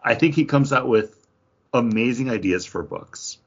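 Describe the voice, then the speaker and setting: A man's voice: mid-range voice, moderate pace, slightly rough. A man chatting casually as a podcast co-host, rambling through his opinions and acting out imagined quotes. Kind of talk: casual chat, book review. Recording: dull, lo-fi podcast audio.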